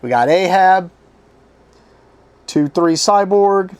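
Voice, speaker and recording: A man hosting a casual card game unboxing, his voice strained and tiring as he reads card names aloud in short halting bursts. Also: high-pitched, expressive, darkish tone, smooth. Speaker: board game channel host.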